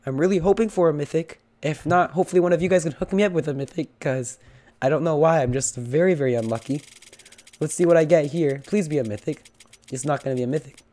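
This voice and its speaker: A young man with a hoarse, cracking voice from illness, chatting casually as he opens loot boxes and pleads for better luck. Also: on the high side, quick pace, warm tone. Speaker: gaming channel host. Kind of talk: casual game commentary.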